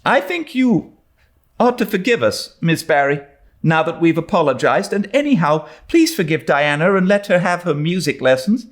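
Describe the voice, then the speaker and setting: Man as an earnest high-voiced young girl. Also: big pitch swings, moderate pace. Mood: pleading. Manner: pleading, resolute, tremulous. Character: earnest, imploring, dramatic young orphan girl. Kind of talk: dramatised audiobook reading of a classic novel.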